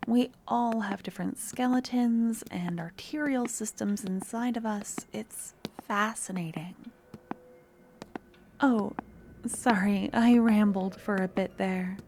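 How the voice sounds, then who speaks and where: Woman acting a slow, soft, sweetly obsessive young woman. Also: medium pitch, slight background noise. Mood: soft, fascinated. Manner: soft, coaxing, slightly awkward and hesitant. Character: sweet, obsessive young female stalker, an embalming student. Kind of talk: ASMR audio roleplay, addressing the listener.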